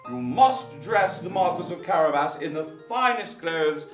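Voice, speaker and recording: A man's voice: a little high, animated, a little slow. A man voicing a friendly, polite older male king in a hearty, gracious manner. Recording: roomy, distant, faint guitar.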